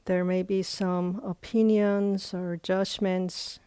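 A woman leading a guided meditation as a teacher, speaking in short phrases with long, unhurried pauses. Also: lowish voice, flat delivery, dark tone, clear, resonant tone, no echo.

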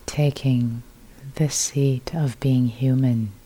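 A woman meditation teacher with a soft, husky, breathy voice, guiding a meditation in sparse, unhurried, soothing phrases. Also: extremely low pitch, steady tone, poor audio. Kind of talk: guided meditation.

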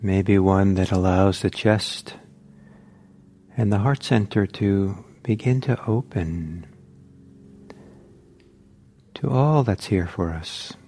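Slow, low-voiced man guiding meditation. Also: slight background noise. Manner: gentle, halting, reflective with long pauses. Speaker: meditation teacher. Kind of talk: guided meditation talk.

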